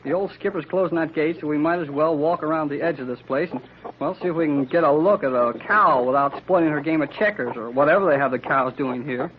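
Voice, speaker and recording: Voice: mid-range voice, fast, a little hiss or hum, natural voice. An actor playing a brash, impatient boy with slangy, exclamatory talk in an old-time radio serial. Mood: grumbling, impatient. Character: brash, impatient, plain-spoken adventurous boy. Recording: muffled vintage radio recording.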